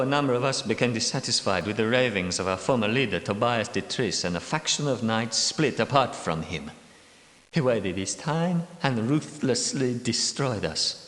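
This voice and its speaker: A man voicing a bitter, secretive knight guardian who is centuries old, speaking in a measured, world-weary way in a game's voice lines. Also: on the low side, fairly bright tone. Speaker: game character. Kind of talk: game character voice lines. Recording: echoey reverberant room.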